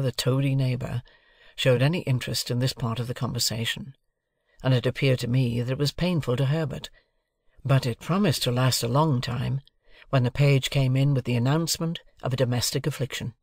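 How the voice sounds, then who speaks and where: A man narrates literary fiction for an audiobook. His mid-range voice has a noticeable gravelly creak. The recording is close and dry. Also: mid-range voice, fairly even intonation, normal speed, dry recording.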